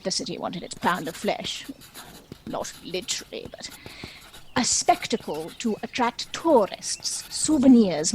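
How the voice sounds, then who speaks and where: A woman's voice: on the high side, a little hiss or hum, low-quality recording. A woman playing a chatty, self-pitying dragon who tells its own story in a wry, theatrical comic stage monologue. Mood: dry, wry. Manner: wry, theatrical, measured storytelling with arch asides.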